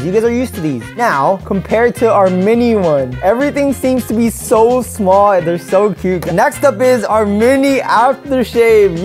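A young barber in his twenties talks through a product review with animated, slangy exclamations. His pitch swings widely as he reacts, and soft background music runs faintly under his voice. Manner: animated, exclaiming, slangy ("bro", "what the heck"). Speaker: barber and video host. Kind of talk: excited product unboxing and review. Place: indoor studio set at a table.

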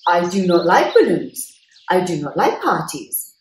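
A woman's voice: fairly low pitch, measured pace, reverberant, slight background noise. A woman voicing a grumpy, stubborn male bear who refuses everything firmly. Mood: stern. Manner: firm, flat refusals.

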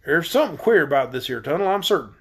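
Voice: mid-range voice, big pitch swings, quick pace, fairly bright tone, breathy edge, exaggerated voice. A reader voicing a cautious, kindly old sailor man in a storybook, with a folksy, doleful way of talking. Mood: worried. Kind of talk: acted storybook character.

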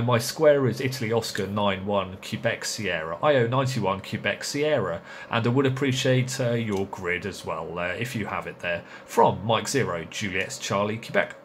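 A man's voice: lowish voice, a bit bright. A man chatting casually and hesitantly as he narrates and operates amateur radio. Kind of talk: casual hands-on narration and radio contact chat. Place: small indoor radio shack. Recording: noisy room with radio hiss.

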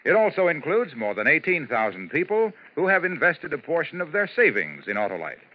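An American man reading sponsor announcements in formal, polished 1950s radio style. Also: fairly high pitch. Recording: muffled 1950s radio recording.

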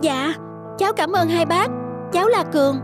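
A woman's voice: very high-pitched, normal speed, over loud music. A woman voicing a poor young boy in a cartoon, polite, timid and hesitant. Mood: grateful, shy. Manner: hesitant, respectful. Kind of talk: cartoon voice acting.

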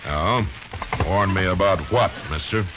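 An actor playing a steady, easygoing, laconic frontier marshal in a 1950s radio western. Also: male voice, low-pitched, measured pace. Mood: questioning. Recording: dull, hissy 1950s radio recording.

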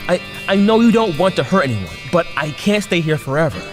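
A man's voice: on the high side, big pitch swings, fairly quick. A man playing an awkward, earnest young captive superhero in an audio drama, speaking hesitantly. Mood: placating, pleading. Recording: long reverb over faint music.

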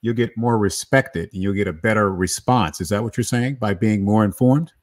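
A middle-aged man moderating a live video-call panel, animated and addressing each panelist by name. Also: on the low side, normal speed. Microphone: video call webcam with desk microphone.

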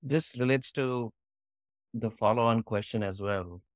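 A man hosting an interview, putting questions in a measured, formal way. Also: mid-range voice, no room sound. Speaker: interviewer, podcast host.

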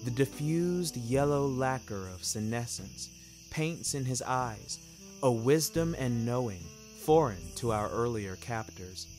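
Guy narrating an audio story, slow and wry, kinda literary, music under it. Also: mid-range voice, lively intonation, darkish tone. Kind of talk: scripted first-person fiction narration.

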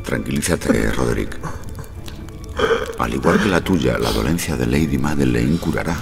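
An actor playing a grave, concerned gentleman in a Gothic audio drama, solemn and measured. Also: very deep voice, lively intonation, vocal fry, over music, heavily acted. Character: grave, concerned gentleman visitor recounting a horror.

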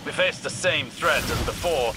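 A man playing a solemn, learned older warlock in a video game, measured, grave and deliberate. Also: on the high side, lightly acted. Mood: grave warning. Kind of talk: dramatic video game dialogue. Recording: music playing behind.

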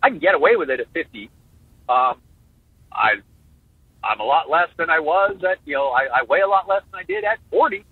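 High, lively man in his fifties on a phone line. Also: husky, dry recording. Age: 50s. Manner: animated, halting restarts, jokey. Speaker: guest drummer. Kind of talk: casual interview talk.

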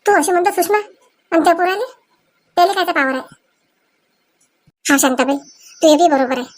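A woman voicing excitable, quarrelsome village women in a cartoon comedy, with a rural Vidarbha Marathi accent and a scolding, exclamatory manner. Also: extremely high pitch, brisk, a little hiss or hum. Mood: aggrieved. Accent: Marathi, Vidarbha dialect.

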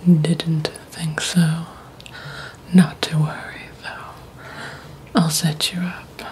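A young woman playing a polite, poised vampire receptionist at a blood bank in a soft, breathy, deliberate ASMR roleplay. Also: low-pitched, level tone, background noise. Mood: polite, businesslike. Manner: slow, deliberate, hushed, formal.

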